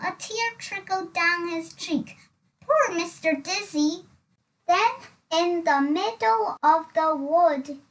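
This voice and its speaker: A woman reading a children's story aloud in an expressive, deliberate way. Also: extremely high pitch, far from the mic, rough recording. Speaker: storybook reader.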